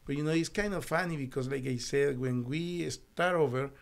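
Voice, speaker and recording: A middle-aged man from El Salvador with a Spanish accent, telling his story as an interview guest in halting, earnest English in a small studio on a desk mic. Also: a little high, creaky. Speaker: guest, naturopathic doctor. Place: small studio with foam wall panels. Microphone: desk podcast mic.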